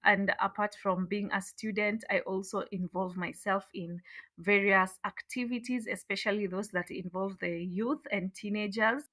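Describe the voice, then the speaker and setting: A young woman from Kenya, an education student answering interview questions in a steady, measured way. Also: medium pitch. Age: young adult.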